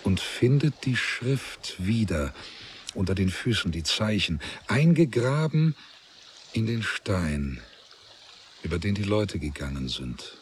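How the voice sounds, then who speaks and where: A man narrating a literary radio drama in a grave, measured way, with deliberate pauses. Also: on the low side, very animated, slight background noise. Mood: grave, reflective.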